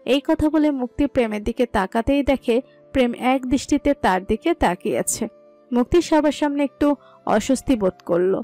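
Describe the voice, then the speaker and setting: A woman's voice: high voice, normal speed, dark tone, faint music behind. A woman reading a scripted story as its narrator.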